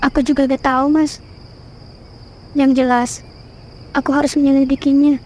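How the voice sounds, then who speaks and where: A woman's voice: high voice, flat delivery, moderate pace, warm tone, natural voice. A woman voicing a determined young village woman. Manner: earnest, insistent questioning. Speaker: voice actor. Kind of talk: cartoon horror voice acting. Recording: faint music behind voice.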